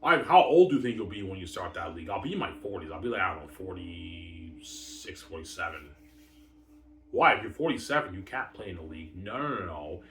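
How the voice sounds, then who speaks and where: A man with a deep voice rambles casually and boastfully, circling back over the same phrases. He starts phrases with emphatic bursts and lets them trail off. The recording is echoey and a little distant from the mic, with faint noise. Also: expressive, a little fast, a bit bright. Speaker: vlogger. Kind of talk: rambling casual hockey talk.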